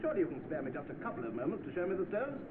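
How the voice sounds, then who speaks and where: An actor playing a brisk, formal and keen wholesale buyer in an old film drama. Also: male voice, sits high, big pitch swings, a loud crowd. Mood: businesslike, keen. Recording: very noisy, tinny old film soundtrack.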